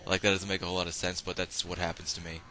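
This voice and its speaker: A man giving rambling, casual running commentary while playing an online shooter, his voice slightly raspy and hoarse from a cold, often breaking off mid-thought to react to the action. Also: sits low, very fast, a little hiss or hum. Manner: rambling, self-interrupting, bursts of excitement at game action. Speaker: gameplay commentator.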